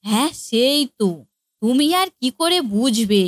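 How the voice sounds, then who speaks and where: A woman voicing a high-pitched scolding mother-in-law. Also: expressive, a little slow, no room sound, studio quality. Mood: exasperated, scolding. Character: scolding, exasperated middle-aged Bengali mother-in-law. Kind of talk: comic cartoon dialogue.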